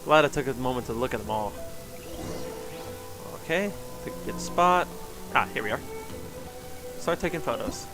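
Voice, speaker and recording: A man's voice: medium pitch, lively intonation, normal speed, pauses often. A man livestreaming a game with casual commentary, muttering to himself and reading choices aloud. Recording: game music faintly behind.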